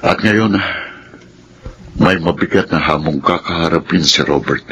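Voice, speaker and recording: Deep-voiced, earnest Filipino father. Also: a little slow, a bit bright, lo-fi sound, slight background noise. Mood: grateful, weary. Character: loving middle-aged father, grateful, earnest. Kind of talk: Filipino radio drama acting.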